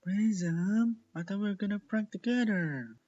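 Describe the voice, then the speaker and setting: A man's voice: sits high, a little slow, a little mellow, dull audio, faint music behind, voice pushed up. A man voicing a playful, bashful young female pony in a story reading, with a girlish, teasing manner.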